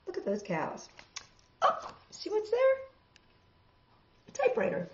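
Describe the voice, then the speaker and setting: A middle-aged woman hosting a children's storytime, reading a picture book theatrically and deliberately, with chatty asides to young listeners. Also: extremely high pitch, wildly swooping pitch, a little hiss or hum. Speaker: storytime host. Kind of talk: animated children's storybook read-aloud. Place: small living room indoors. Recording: dull, roomy indoor webcam sound.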